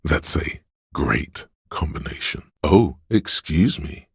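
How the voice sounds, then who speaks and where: Gravelly, very deep seductive voice of addiction. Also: male voice, lively intonation, slow pace, dry recording, clean studio sound, exaggerated voice. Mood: teasing, craving. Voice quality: gravelly, husky bass. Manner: sly, coaxing, savouring words. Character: seductive, hedonistic inner voice of drug and drink craving. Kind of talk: dramatic video game narration.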